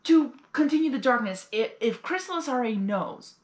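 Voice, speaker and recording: A woman's voice: on the high side, lively intonation, moderate pace, reverberant. A woman giving casual, exclamatory live reaction commentary with indignant asides. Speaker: reaction video host.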